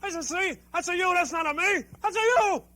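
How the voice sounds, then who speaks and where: A man voicing a squeaky, argumentative little creature that insists on the same thing over and over. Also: fairly quick, pushed and bright. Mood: insistent.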